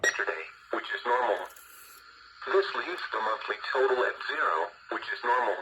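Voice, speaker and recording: A man's voice: medium pitch, animated, normal speed, bright, forceful tone, a little hiss or hum. A man's synthetic-sounding voice reading a weather report as an announcer, distorted through a small radio speaker. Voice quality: distorted, muffled. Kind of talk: automated weather report readout.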